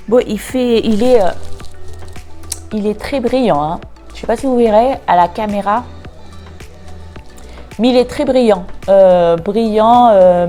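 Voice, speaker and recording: Slow, stuffy-nosed woman vlogger. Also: mid-range voice, dark tone. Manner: hesitant, frequent filler sounds. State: congested, nasal from a cold. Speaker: beauty vlogger. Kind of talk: casual unboxing chat.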